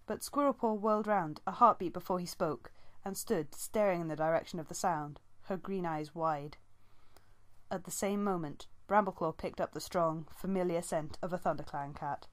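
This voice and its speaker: A woman's voice: on the low side, normal speed. A woman narrating a novel as an amateur audiobook reader, reading from the text.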